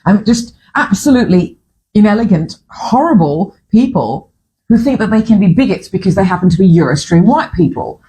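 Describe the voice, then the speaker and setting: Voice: mid-range voice, expressive, fairly quick, mellow, slight background noise, low-quality recording. A host in their fifties from Fiji, speaking with a Fijian accent in a casual, opinionated morning chat, full of restarts and the odd swear word. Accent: Fijian English. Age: 50s. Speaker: podcast host.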